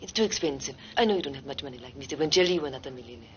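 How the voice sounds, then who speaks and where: An actress playing a talkative Parisian streetwalker, rambling and confiding, sometimes dropping to a whisper, on a dull, noisy old film soundtrack. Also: female voice, extremely low pitch, expressive, racing. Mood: coaxing.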